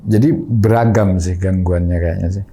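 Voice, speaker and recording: A man's voice: deep voice, steady tone, moderate pace, warm tone, breathy edge, dull audio. A middle-aged man, a guest on a studio podcast, recounting his experiences in a polite, hesitant way, often repeating himself. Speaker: canteen manager guest. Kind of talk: casual interview chat.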